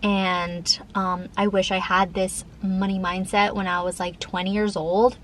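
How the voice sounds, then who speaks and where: A woman's voice: medium pitch, level tone, measured pace, a little hiss or hum. A thirty-year-old woman chatting casually in a vlog, rambling informally with frequent "like" fillers. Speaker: lifestyle vlogger. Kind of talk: casual chat to camera.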